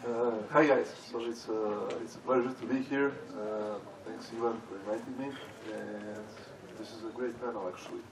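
A man from Serbia, speaking haltingly as a conference panelist. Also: on the low side, steady tone. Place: large conference hall with audience. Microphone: stage microphone heard from far off. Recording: echoey hall, distant, audience noise.

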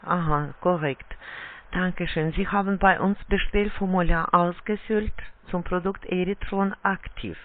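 A non-native German speaker works as a telesales agent, going slowly through a formal, scripted pitch. The phrasing is halting and a little awkward. The call comes through a muffled phone line. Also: fairly even intonation. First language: not German. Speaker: telephone sales agent.

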